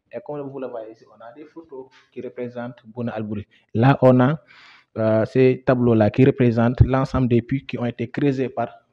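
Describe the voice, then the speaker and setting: Young male guide from Senegal, mid-pitched and hesitant, for a museum tour. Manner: hesitant, many fillers and repeats. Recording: dull lo-fi sound.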